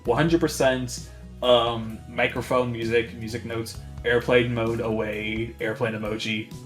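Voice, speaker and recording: A man's voice: medium pitch, flat delivery, a little slow, soft background music. A man playing a tabletop roleplaying game, narrating his character's actions in a casual, improvised way.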